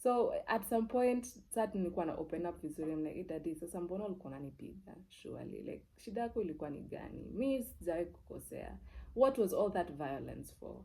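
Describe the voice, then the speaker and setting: Low, mellow-voiced young Kenyan woman storyteller. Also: fast, poor audio. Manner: expressive, repeats words for emphasis, switches between English and Swahili. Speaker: TV presenter. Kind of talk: personal storytelling, casual and candid.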